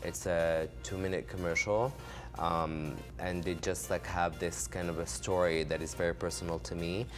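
Young guy from morocco, really deep voice, laid back designer interview, music under it. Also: normal speed. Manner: relaxed, many "like" fillers. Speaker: fashion designer, guest. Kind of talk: casual interview answers. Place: studio set, seated on a couch. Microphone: desk microphone on a stand.

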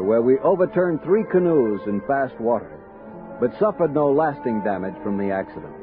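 An actor playing a steady frontier expedition captain, telling his story in measured 1960s radio drama style. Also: mid-range voice, close to an everyday voice. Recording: muffled 1960s radio recording with music.

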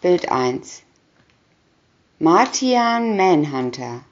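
A woman's voice: fairly low pitch, very animated, drawn-out words, dull audio. A woman doing a scripted reading of a children's picture booklet, announcing short captions one by one. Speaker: reader.

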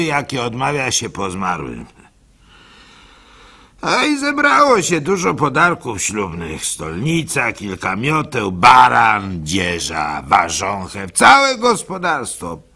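A gullible village fool in a radio play, telling his woes in a rueful, folksy way. The voice is bright and forceful with a creaky edge. He takes his time, sighing theatrically as he goes. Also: mid-range voice, heavily acted. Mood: wry recounting. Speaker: radio drama actor. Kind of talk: first-person dramatic monologue storytelling.

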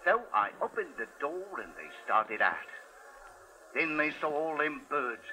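An agitated countryman in a 1950s radio drama. His voice is sharp and rather high, leaping about animatedly as he talks in folksy, ungrammatical phrases. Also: full character voice, rough recording. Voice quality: folksy, ungrammatical rustic speech. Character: agitated countryman describing his terrified cats.

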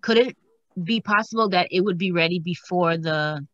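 A woman's voice: lowish voice, moderate pace, no echo. A woman asking curious questions on a video call. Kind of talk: casual video call chat. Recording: dry, dull call audio.

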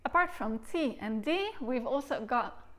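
A woman's voice: a little high, animated, a little slow, a bit bright. A woman in her thirties with a Dutch accent, a recorder teacher patiently explaining articulation and sounding out syllables in a living room. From Netherlands. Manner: explains patiently and demonstrates syllables aloud with gestures. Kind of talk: demonstration lesson. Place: living room, seated on a sofa.

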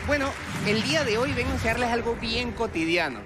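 A man's voice: very high voice, animated, fast talker, a crowd in the background. A man in his twenties with a Venezuelan accent, performing theatrical stage magic patter and addressing judges directly through a headset mic. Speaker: stage magician, talent show contestant. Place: large TV studio theatre stage. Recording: music under stage performance. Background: music.

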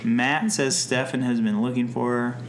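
A man co-hosting a casual podcast, reading plot notes aloud and making dry, deadpan jokes. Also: medium pitch, moderate pace, slight background noise. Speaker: podcast co-host. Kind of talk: casual chat recapping a TV episode.

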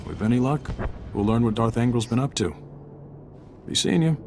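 A man's voice: medium pitch, normal speed, background noise, lightly acted. A man playing a seasoned intelligence agent in a video game, wry and matter-of-fact. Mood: wry, briefing.

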